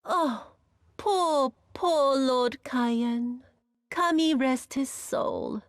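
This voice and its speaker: An actress playing a cruel, vengeful woman in a video game, mocking and imperious, with a theatrical, drawn-out delivery that sinks into breathy whispers. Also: high voice, no echo, clean studio sound. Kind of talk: dramatic video game cutscene acting.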